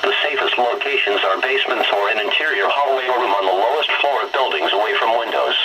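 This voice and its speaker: A synthetic man's voice reading a formal automated weather bulletin in a flat, measured way through a radio speaker. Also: mid-range voice. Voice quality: synthetic, robotic. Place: played from weather radio on wooden desk. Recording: very noisy weather radio, re-recorded in room.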